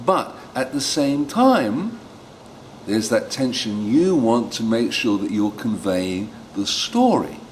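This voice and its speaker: A man giving an instructional demonstration as a storyteller, speaking expressively and pausing for emphasis, with some background noise. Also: fairly low pitch, a little mellow. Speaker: storyteller teaching read-aloud technique.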